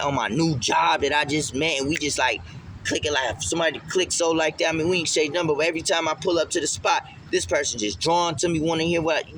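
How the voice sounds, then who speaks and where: Male vlogger, slightly high and forceful, emphatic street-slang delivery in a casual faith monologue, faint ambient noise behind. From Puerto Rican heritage. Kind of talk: casual spiritual vlog monologue.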